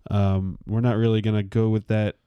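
A young man in his twenties leads a casual Bible podcast, his voice slightly low and recorded dry and close. He talks loosely and slangily, working through the facts with pauses and fillers. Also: smooth, no echo, lo-fi sound. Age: 20s. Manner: relaxed, slangy, says "dude" a lot, explains with pauses. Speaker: podcast co-host.

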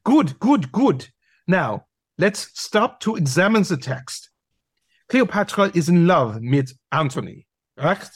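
A pompous, lecherous male dog played by an actor teaches drama in an audio play. The voice is husky and swings widely in pitch, slow and commanding, recorded dry. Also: very animated, no room sound, full character voice. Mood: instructing. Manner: theatrical, instructing, commanding.

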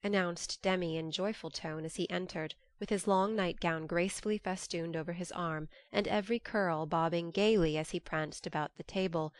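Low-voiced woman narrating, dry recording. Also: steady tone, moderate pace, hardly any pauses, dry recording. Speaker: audiobook narrator. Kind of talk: scripted literary narration.

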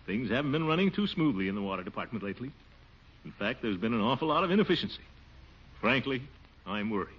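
An actor playing a pompous, easily flustered middle-aged city official in a 1940s radio comedy. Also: male voice, mid-range voice, sing-song, quick pace, breathy edge. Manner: flustered, blustering, mutters to himself. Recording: muffled old radio broadcast with hiss.

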